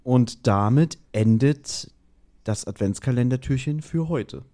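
A man's voice: mid-range voice, measured pace, mellow. A man co-hosting a podcast, casually retelling a story and acting out the quotes as he goes. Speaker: podcast co-host. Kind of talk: casual chat, retelling an audio drama episode.

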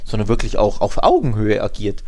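A man's voice: fairly low pitch, huge pitch swings, brisk, darkish tone. A man chatting casually as co-host of a football podcast, often tacking short questions onto his sentences. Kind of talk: casual sports podcast chat.

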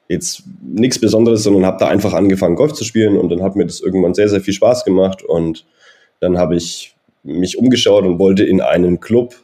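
A man's voice: low-pitched, a little fast, mellow. A man in his thirties, a golf coach, answering interview questions in a friendly, rambling way with many fillers. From Germany (Nuremberg). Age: 30s. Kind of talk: interview answers, personal background.

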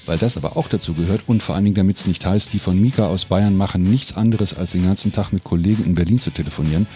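A man's voice: deep voice, a little mellow. A man hosting an interview podcast in a conversational, wry, colloquial way. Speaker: podcast host.

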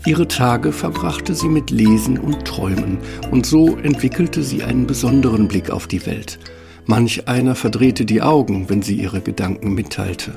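A man's voice: medium pitch, expressive, normal speed, creaky, over music. A man reading scripted fairy-tale narration as the storyteller of an audio play.

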